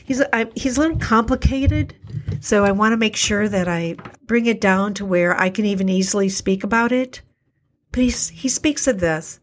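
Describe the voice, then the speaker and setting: A middle-aged woman hosting a podcast, talking alone in an earnest, reflective way; her voice is a little hoarse today. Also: mid-range voice. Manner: earnest, repetitive, slows for emphasis. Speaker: podcast host, alternative health practitioner. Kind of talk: reflective solo talk.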